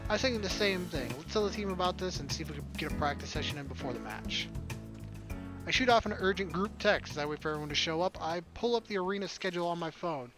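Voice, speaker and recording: A streamer with a mid-pitched voice narrates a visual novel aloud. The reading slips into casual, wry quips, and game music plays behind the voice. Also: lively intonation, fast. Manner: wry, quipping asides.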